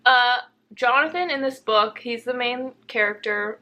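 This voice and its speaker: A young woman with a bright, lively-edged voice talks casually as a book vlogger. She is playful and teasing, and gushes freely in an everyday conversational way. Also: a little high, a little slow. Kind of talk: casual chat.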